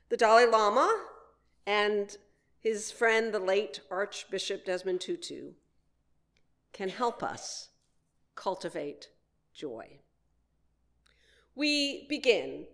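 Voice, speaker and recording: A woman minister delivering a prepared sermon in measured, deliberate phrases with frequent pauses. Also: mid-range voice, fairly bright tone.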